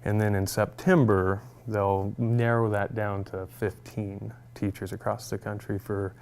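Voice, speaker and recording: A man's voice: lowish voice, level tone, a little slow, a little mellow. A young man, a music teacher, answering interview questions in a friendly, upbeat way and laughing easily.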